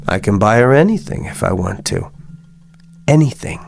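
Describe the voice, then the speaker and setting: Slick, smug American hustler, slightly gravelly. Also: male voice, fairly low pitch, big pitch swings, normal speed, a little mellow, a little hiss or hum. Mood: scornful, pushy. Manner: smug, insistent. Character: slick, boastful, pushy man on the make. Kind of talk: radio drama acting.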